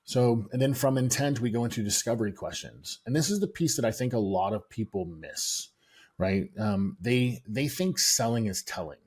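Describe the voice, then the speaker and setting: A middle-aged American man, a guest in an interview, explaining sales steps in a measured way with many "um" pauses. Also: mid-range voice, animated, darkish tone, husky. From United States. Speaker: guest, sales and software founder.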